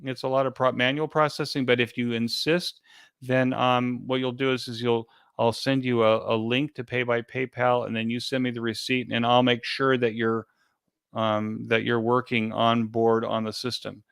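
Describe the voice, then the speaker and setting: A man being interviewed as an event organizer, giving an informal step-by-step explanation in a halting, repetitive way. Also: mid-range voice, flat delivery, gravelly, a bit bright, smooth, dry recording, clean studio sound.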